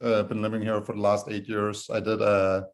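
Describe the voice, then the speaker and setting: A man's voice: lowish voice, flat delivery, a little fast. A man with a Danish accent giving informal, explanatory answers as a guest in a conversational interview. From Denmark. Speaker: podcast guest, startup co-founder.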